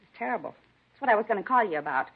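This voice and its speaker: Indignant, cat-doting American woman neighbor, very animated intonation, rambling, muffled old radio recording. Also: mid-range voice, racing, vocal fry, slightly rough. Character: nosy, gossipy, indignant female neighbor who dotes on cats. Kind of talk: 1950s radio drama acting. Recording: dull 1950s radio broadcast recording.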